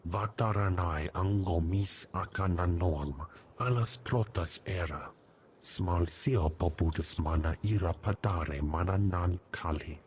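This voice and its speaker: A man's voice: low voice, a little slow, darkish tone, a little hiss or hum. An actor voicing a solemn male alien who speaks an invented language in a video game.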